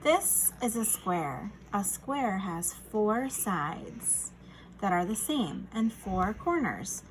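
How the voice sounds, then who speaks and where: Female children's read-aloud teacher: unhurried, lively pitch swings, encouraging and instructional, slight noise behind. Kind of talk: reading a picture book aloud to young children.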